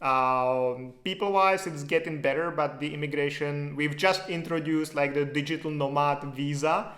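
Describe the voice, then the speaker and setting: A man's voice: fairly high pitch, steady tone, moderate pace, fairly bright tone. A man from the Czech Republic speaking English with a Czech accent, hosting a casual live question-and-answer stream in a loose, chatty way with lots of fillers. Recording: roomy echo.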